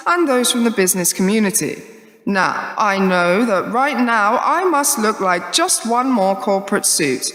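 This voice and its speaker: An actress playing a poised, persuasive corporate woman giving a deliberate public address in a radio drama. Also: fairly high pitch, a bit bright. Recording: echoey hall, long reverb.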